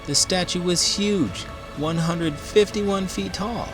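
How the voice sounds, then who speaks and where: A man's voice: high voice, takes it slowly, over music. A man reading a children's book aloud as a host, with dramatic, emphatic delivery. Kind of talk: expressive storybook read-aloud.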